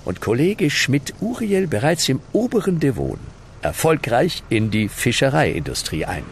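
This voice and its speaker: A man's voice: medium pitch, very animated, measured pace, creaky, a little hiss or hum. A man playing a mock-solemn storyteller, narrating a comedic radio play as scripted.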